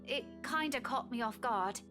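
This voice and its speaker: A woman's voice: fairly high pitch, normal speed, over music, close to an everyday voice. An actress playing an anxious, easily indignant young woman teacher in a visual novel. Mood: sheepish.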